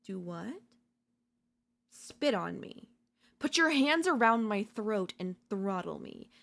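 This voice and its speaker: A woman voice-acting an obsessive, unhinged yandere in a dark ASMR audio roleplay, theatrical and taunting, mocking and seductive. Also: mid-range voice, big pitch swings, slow, vocal fry, heavily acted. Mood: taunting, demanding. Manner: theatrical, taunting, swinging between intimate murmurs and intense outbursts.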